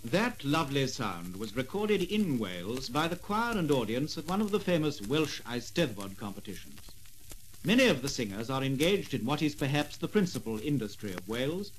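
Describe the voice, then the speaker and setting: A man giving formal, measured documentary narration in a 1950s style on an old gramophone record. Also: medium pitch, poor audio. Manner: formal, measured announcer delivery. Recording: vintage 78rpm gramophone record.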